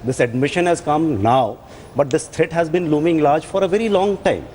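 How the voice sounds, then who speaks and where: An older Indian-accented man speaks as an expert interview guest. His voice is a little high, and he qualifies points carefully, repeating some for emphasis. There is light background noise. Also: normal speed, darkish tone. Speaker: retired air force officer, expert guest.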